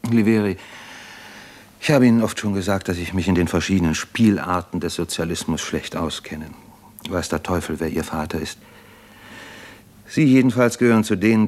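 An actor playing a weary, disillusioned captive doctor in a German radio play, articulate and bitterly ironic. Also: male voice, low-pitched, a little mellow. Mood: weary, irritated. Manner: articulate, bitterly ironic, impassioned in long speeches.

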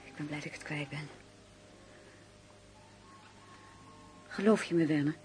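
An actress playing an anguished, remorseful informant in a Dutch radio drama, speaking hesitantly and emotionally with a creaky voice. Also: female voice, low voice, quick pace, a little mellow, soft background music, close to an everyday voice. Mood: pleading, tender. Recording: noisy radio play recording.

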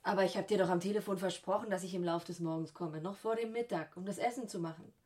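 An actress playing a brisk, coaxing and calculating woman, a returning former mistress in a radio play. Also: fairly low pitch, steady tone, a little mellow, a little hiss or hum, lightly acted. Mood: wry, reproachful. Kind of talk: German radio play acting.

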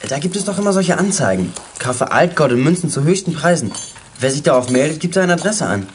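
A fairly high, animated boy's voice, brisk and sure of himself. He is a clever, teasing young detective in a children's audio play, with faint noise behind. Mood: planning. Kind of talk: 1980s German children's audio drama acting.

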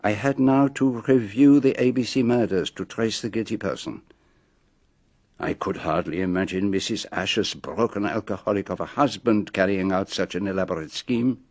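An actor playing a shrewd, self-assured male detective with a French-speaking accent, speaking in measured, pompous reveals in a radio drama. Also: fairly low pitch, darkish tone, no echo, close to an everyday voice. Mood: measured, triumphant.

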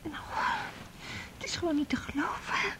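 A woman's voice: high-pitched, normal speed, a bit bright. A woman playing a scared, indignant young daughter in a naturalistic radio drama, fretful and whispery.

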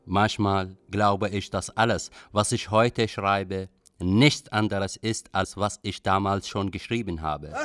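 An actor playing a young Iraqi refugee poet, narrating his flight in a measured, reflective, literary way for a radio drama. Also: low voice, steady tone, a bit bright, smooth, faint music behind.

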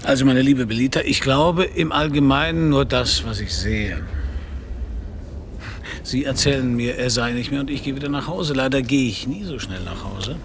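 A man's voice: mid-range voice, moderate pace, background noise, natural voice. An actor playing a hard-boiled, stubborn male private detective in a radio crime play, talking in a dry, laconic, sardonic way. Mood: probing, skeptical. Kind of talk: first-person radio crime drama narration and dialogue.

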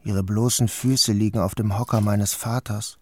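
A man narrating a literary audio drama in the first person, calm, measured and introspective. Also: fairly low pitch, slight background noise. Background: music.

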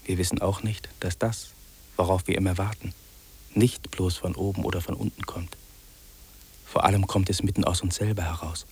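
Husky, brooding, lonely city man. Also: low voice, steady tone. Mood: ominous. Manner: solemn, incantatory, deliberate phrasing. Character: lonely, fearful, brooding city man listing what he hears. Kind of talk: 1950s German radio drama monologue, declaimed. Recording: old radio play on cassette tape.

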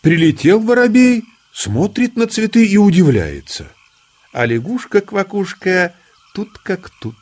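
A man narrating a scripted children's tale as an expressive storyteller. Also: high voice, measured pace. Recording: music under the voice. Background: music.

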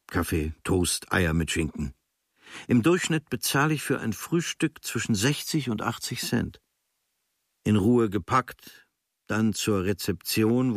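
An actor reading as a young German writer on his travels, observant and dryly critical, in measured, sober, clipped phrases. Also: fairly low pitch, no room sound, clean studio sound. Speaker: reader of a writer's diary. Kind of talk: literary reading of a travel diary.

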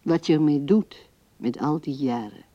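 An actress playing an old widow musing tenderly and haltingly at her husband's grave in a radio drama, her soft voice often dropping to a hush. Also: deep voice, no echo. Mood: musing, wistful. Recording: dull old radio recording.

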